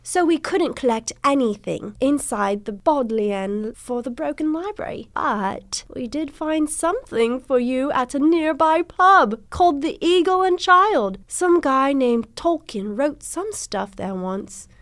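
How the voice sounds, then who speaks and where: A woman's voice: sits high, lively intonation, measured pace, hardly any pauses, dry recording. An actress playing a cheerful, enthusiastic woman traveler reading her letter home theatrically in an improvised radio comedy. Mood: chatty, informative. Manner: theatrical, declaring.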